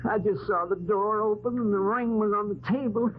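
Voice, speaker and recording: An actor playing a nervous, stammering small-time thief who pleads in old-time radio drama style. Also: male voice, high voice, brisk, soft background music, natural voice. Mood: pleading. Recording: tinny old radio broadcast, loud noise.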